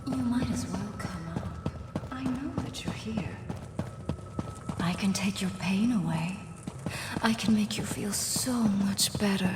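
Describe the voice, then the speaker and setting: An actress playing an imperious, seductive villainess in a video game, teasing and menacing in a languid manner. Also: female voice, medium pitch, slow pace, long pauses, noisy background, lightly acted. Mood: coaxing. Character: seductive villainess enthralling her worshippers, imperious and mocking. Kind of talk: video game character acting.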